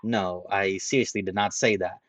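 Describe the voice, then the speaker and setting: A man's voice: deep voice, moderate pace, no echo. A man reading a story aloud, voicing a tired, level-headed young man who answers with dry exasperation. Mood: exasperated. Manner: dry, exasperated, calm corrections.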